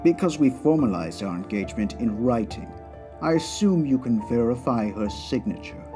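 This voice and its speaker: A man's voice: mid-range voice, animated, moderate pace, music in the background, close to an everyday voice. A man playing a haughty, wealthy aristocratic villain in a comic dub, speaking with formal, pompous precision. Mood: haughty, composed.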